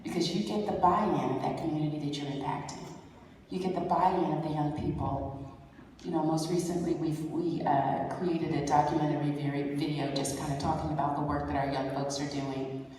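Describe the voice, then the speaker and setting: A woman's voice: deep voice, fairly even intonation, moderate pace, darkish tone, slight background noise. A woman youth advocate on a conference panel, speaking in an affirming, conversational way. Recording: echoey hall, mic heard from far off.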